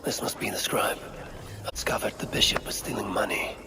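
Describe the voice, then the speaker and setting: An actor playing a determined, self-assured Viking warrior in a video game, speaking in a terse, purposeful way. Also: male voice, brisk, in a whisper, close to an everyday voice, rough recording. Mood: grim, muttering. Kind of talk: video game character acting. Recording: music behind the voice.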